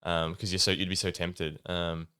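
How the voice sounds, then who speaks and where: A young man chats loosely on a podcast, filling pauses with ums and easy laughter. His voice sits very low and comes through smooth and resonant. The sound is dry and close, with no room around it. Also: dry recording. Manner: relaxed, rambling, many ums and laughs. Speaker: podcast co-host. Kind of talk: casual chat. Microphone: studio mic.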